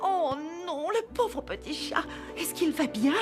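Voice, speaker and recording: High, expressive cartoon shop lady. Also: measured pace, over music. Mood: sympathetic. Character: soft-hearted female shop clerk, easily moved. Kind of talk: French cartoon dubbing.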